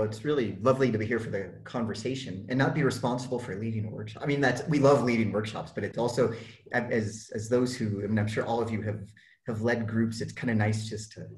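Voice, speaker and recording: A man who directs an education organization, speaking in a thoughtful, measured way and often restarting phrases. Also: on the low side. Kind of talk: reflective discussion. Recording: echoey room.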